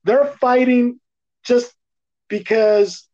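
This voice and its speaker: A man co-hosting a podcast, chatting casually in profane slang with an animated, teasing, ranting manner. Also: very high voice, extremely slow. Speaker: podcast co-host. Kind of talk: casual, slangy movie chat. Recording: dull, lo-fi podcast audio.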